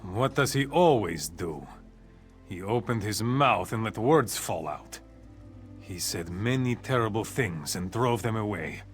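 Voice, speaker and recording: A man's voice: medium pitch, close to an everyday voice. A man playing an earnest, exasperated royal courtier in a fantasy video game. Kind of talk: video game character acting.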